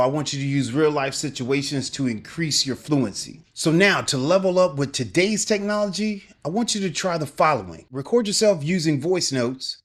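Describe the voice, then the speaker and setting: A man's voice: fairly high pitch, a bit bright. A middle-aged man giving a scripted video lesson as a language teacher, upbeat and emphatic in his coaching.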